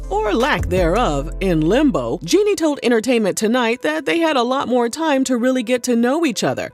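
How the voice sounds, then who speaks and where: A woman narrating a celebrity gossip video from a script and breaking in with sassy, snarky ad-libbed asides. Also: a little high.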